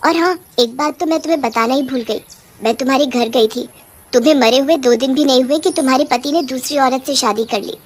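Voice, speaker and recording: A woman acting as an indignant female witch from a cartoon horror comedy. The voice is high, and the manner is fussy and scolding. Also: brisk. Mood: reflective. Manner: scolding, complaining.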